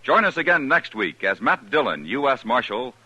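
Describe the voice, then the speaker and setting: A man's voice: medium pitch, big pitch swings, moderate pace, bright, forceful tone, no room sound. A male radio announcer giving formal, declamatory credits and promos in 1950s broadcast style. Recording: dull vintage radio broadcast with music.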